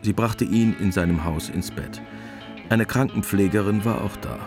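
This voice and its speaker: A man narrating a literary war story in a radio play, measured, detached and matter-of-fact. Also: lowish voice, natural voice. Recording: music under the narration.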